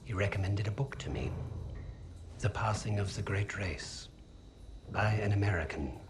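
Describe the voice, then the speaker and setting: An actor playing a coldly polite, bookish Nazi German officer in a war game, speaking in a measured, formal, menacing way with a German accent. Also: male voice, fairly low pitch, lively intonation, background noise, lightly acted. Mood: quiet menace. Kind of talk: game cutscene acting. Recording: music under the dialogue.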